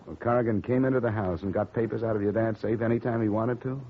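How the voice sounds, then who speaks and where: A man playing a fast-talking, wisecracking radio private eye. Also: on the low side, steady tone, natural voice. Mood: dry. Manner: dry, skeptical and quick, narrating his expense report. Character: cool wisecracking male freelance insurance investigator. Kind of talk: 1950s radio drama acting and narration. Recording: dull lo-fi 1950 radio broadcast.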